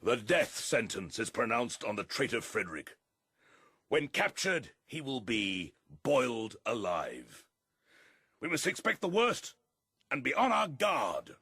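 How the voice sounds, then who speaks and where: A man's voice: mid-range voice, huge pitch swings, measured pace, bright, forceful tone, breathy edge, dry recording, studio quality, heavily acted. An actor playing a commanding, bombastic male pig leader in a radio drama, declaiming pompously. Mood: threatening, stern.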